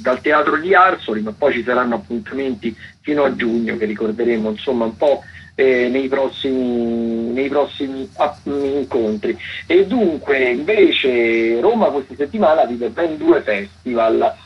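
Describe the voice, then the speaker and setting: A man, a theatre critic giving a halting, rambling, unscripted radio rundown of events. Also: medium pitch. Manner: halting, rambling, filler-heavy. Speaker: theatre critic, weekly listings contributor. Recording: dull lo-fi line, faint noise.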